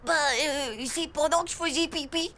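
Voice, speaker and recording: Une femme qui joue un écolier de dessin animé effronté et excité, à la voix râpeuse, au parler argotique, geignard et vantard. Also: female voice, high voice, moderate pace. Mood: sheepish, scheming. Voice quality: gravelly. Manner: slangy, whiny, boastful. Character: cheeky, excitable, scheming little schoolboy. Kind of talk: French animated cartoon acting.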